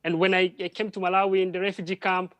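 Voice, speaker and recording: A man from DR Congo, a French speaker, speaking as a panel guest in halting English full of restarts. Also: high-pitched, little pitch movement, fairly bright tone, dry recording. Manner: halting, with frequent restarts and repeated words. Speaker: panel guest, drone project worker. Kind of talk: live panel conversation.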